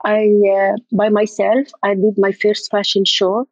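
An older woman from Jordan talks through an interview haltingly, pausing to find her words. Her voice sits at a middle pitch. It comes over a dull, muffled line in a dry, close sound. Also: level tone, no echo. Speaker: event designer, guest. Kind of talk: interview conversation.

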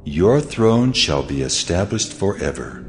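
God in a scripture drama: low, commanding, weighty, long echoing tail. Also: male voice, very animated, faint music behind. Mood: solemn, commanding. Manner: slow, weighty, declarative. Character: God of Israel, solemn, commanding, ancient divine voice. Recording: long reverb, noisy ambient bed.

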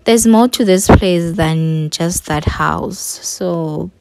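Low-pitched, slow-talking South African woman. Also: creaky, darkish tone. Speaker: vlogger. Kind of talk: casual vlog voice-over.

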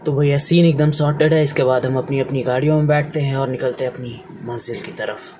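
A man's voice: mid-range voice, fairly even intonation, fast talker, noisy background. A man giving casual, wry narration, handing out friendly advice.

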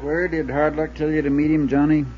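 An American actor playing an exasperated, folksy small-town insurance agent in a 1950s radio drama. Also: male voice, fairly high pitch, level tone, a little fast, breathy edge. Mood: insistent, exasperated. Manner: folksy, insistent. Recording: dull, dry 1950s radio recording.

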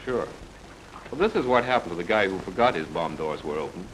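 A man's voice: sits low, expressive, brisk, fairly bright tone. A man acting as an easygoing wartime pilot, informal and matter-of-fact, in a 1940s instructional film. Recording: very noisy 1940s film soundtrack.

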